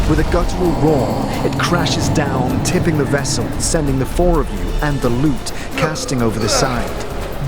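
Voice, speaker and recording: A man narrating a fantasy audio series with theatrical, measured, suspenseful phrasing. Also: mid-range voice. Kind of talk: dramatic second-person fantasy narration. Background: loud music and sound effects.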